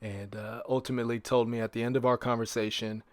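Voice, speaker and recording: A man's voice: medium pitch, flat delivery, fast, no room sound. Casual male podcaster, dry close sound. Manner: conversational, rhetorical, repeats phrases for emphasis. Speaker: podcast host. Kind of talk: casual solo podcast monologue.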